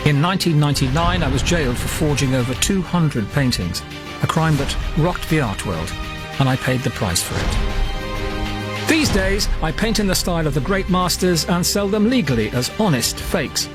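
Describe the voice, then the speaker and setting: A man narrates a scripted voice-over, measured and deliberate, with a slightly high, lively voice. Music plays clearly beneath him. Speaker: narrator and art teacher.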